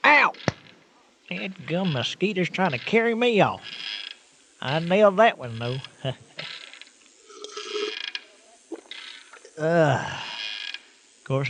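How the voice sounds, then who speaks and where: A folksy Southern country laborer in a radio comedy. His voice is fairly high and swoops freely as he stammers and exclaims, over clearly noisy, buzzing summer ambience. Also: heavily acted. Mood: grumbling, weary. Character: folksy excitable Southern country manure hauler. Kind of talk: old-time radio comedy acting.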